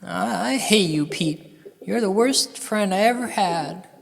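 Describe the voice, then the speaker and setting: A man's voice: sits high, animated, unhurried, gravelly, a little hiss or hum, pitched above the performer's own voice, heavily acted. A man voicing a dead friend come back as a glum, naysaying pigeon, hesitant and reluctant, in a comedy monologue. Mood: sullen, hurt. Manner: hesitant, reluctant, full of ums. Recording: long hall reverb.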